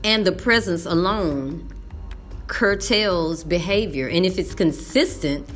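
Emphatic Texan woman teacher, low voice. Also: takes it slowly, soft background music. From Texas. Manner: folksy and emphatic, drops into classroom voices. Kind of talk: casual talk to camera.

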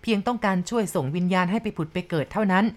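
A scrawny old shaman, short-tempered and commanding, snaps orders in a fairly low voice, as a woman narrator plays him. The delivery stays close to a plain storytelling voice. It comes across as a told ghost story. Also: brisk, lightly acted. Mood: pleading. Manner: stern, commanding. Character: thin old male Karen shaman, stern and irritable.

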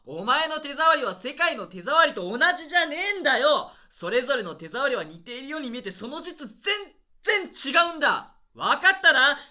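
A man acting as an overbearing, pompous theatre director in a radio drama, gruff and scolding in heated comic dialogue. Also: very high-pitched, sing-song, fairly quick, pushed and bright, full character voice. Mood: furious. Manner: gruff, scolding, rough-spoken.

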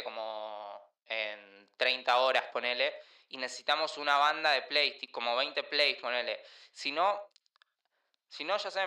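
An Argentine actor playing an awkward, evasive teenage son who rambles hesitantly in slang in an audio drama. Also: male voice, mid-range voice, bright, forceful tone. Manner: hesitant, rambling, full of fillers. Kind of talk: naturalistic audio drama acting.

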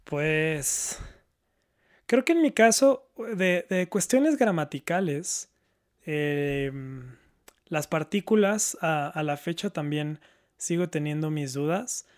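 A man's voice: a little high, takes it slowly. A man chatting casually and reflectively, repeating words as he searches for the right phrasing. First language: Spanish. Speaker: panelist, learner of Japanese.

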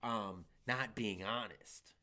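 A man's voice: fairly low pitch, slow pace, bright, forceful tone. A young man and comedian chatting casually on a podcast, rambling and swearing freely as he tells stories. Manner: loose, profane, rambling storyteller. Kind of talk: casual chat.

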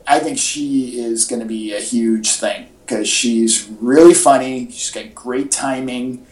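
A man co-hosting a casual podcast chat, speaking in a halting, informal fan style. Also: mid-range voice, steady tone, a little mellow. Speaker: podcast co-host. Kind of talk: casual chat about comics and movies. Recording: echoey, roomy podcast audio.

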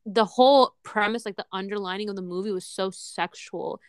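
A woman's voice: mid-range voice, brisk, clear, resonant tone, no echo, studio quality. A woman co-hosting a casual podcast chat, talking bluntly and filling her sentences with "like". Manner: blunt, peppered with "like". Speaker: podcast co-host.

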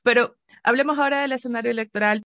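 A woman's voice: a little high, steady tone, quick pace, a bit bright, no echo, muffled recording. A woman from Ecuador, hosting an interview and asking questions in a conversational way. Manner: conversational, frequent short backchannels. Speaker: interviewer host.

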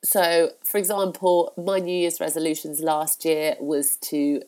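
A woman's voice: sits low, flat delivery, measured pace, a little mellow. A woman hosting a solo podcast in a chatty, encouraging way, with a blocked nose from a cold and frequent fillers. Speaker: podcast host. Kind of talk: casual solo podcast talk.

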